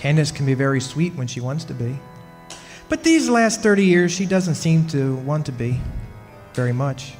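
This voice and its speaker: A man plays a weary, henpecked professor confiding in an old-time radio play. His voice sits slightly high and stammers as he gets flustered. It rings in a long hall reverb, with music behind. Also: sing-song, normal speed, a little mellow. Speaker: actor in a live radio play. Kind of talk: old-time radio drama narration and dialogue. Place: club hall stage.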